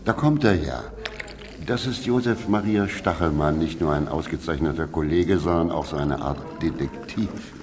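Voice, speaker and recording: An actor playing a jovial senior professor hosting in a radio drama, genial and courteous. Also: male voice, rumbling low, moderate pace, over music. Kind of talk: naturalistic radio drama acting.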